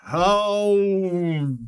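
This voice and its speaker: A man's voice: high-pitched, a little hiss or hum. A man playing a large, pompous, irritable genius detective in an old time radio style drama, speaking formally and with exasperation. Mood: weary, grudging. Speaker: actor in an audio detective drama.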